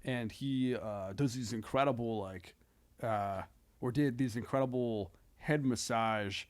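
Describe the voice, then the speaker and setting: A man chatting casually as a comedian guest on a podcast, talking in a halting, tangential way with frequent self-corrections. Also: mid-range voice.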